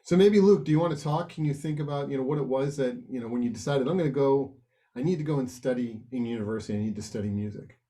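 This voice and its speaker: A man hosting an online panel, asking questions with encouraging, halting restarts. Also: medium pitch, mellow. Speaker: host.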